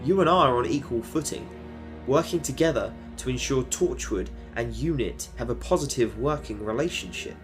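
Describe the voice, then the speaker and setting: A man's voice: mid-range voice, moderate pace, over music, lightly acted. An actor playing a stern team leader who gives orders firmly and protects his people. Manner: firm, authoritative, swears when angry.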